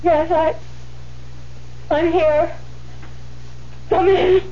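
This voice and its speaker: An actress playing a meek, troubled young nun in a 1970s radio drama, hesitant, stammering and earnest. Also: female voice, extremely high pitch, fairly even intonation, extremely slow, heavily acted. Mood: flustered. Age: young adult. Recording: dull, noisy 1970s radio recording.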